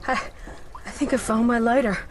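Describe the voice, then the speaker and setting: A woman's voice: fairly high pitch, fairly even intonation, brisk, close to an everyday voice. An actress voicing a tough, sarcastic young gang woman in a video game, defiant and urgent. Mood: strained, wry. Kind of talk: video game cutscene acting. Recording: heavy noise over game scene.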